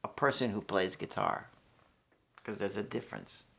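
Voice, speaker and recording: A man's voice: lowish voice, normal speed, vocal fry, fairly bright tone. A man in his sixties from New York, a guitarist talking through a casual, rambling, candid monologue to camera, with frequent swearing and restarts. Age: 60s. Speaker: guitarist and channel host.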